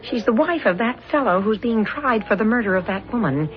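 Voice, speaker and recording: A woman's voice: fairly high pitch, lively intonation, a little fast, soft background music, natural voice. An actress playing a shrewd, long-suffering policeman's wife, knowing and drily teasing, in 1970s radio drama style. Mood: curious, observant. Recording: dull lo-fi radio drama recording.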